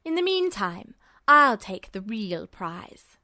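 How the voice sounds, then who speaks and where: A woman doing calculating military man, smooth and courteous, coolly threatening, measured, audiobook villain, close dry sound. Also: medium pitch, no room sound, pitched below the performer's own voice, full character voice. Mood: cool menace. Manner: calm, polite, unhurried. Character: smooth, calculating male army major, coolly menacing.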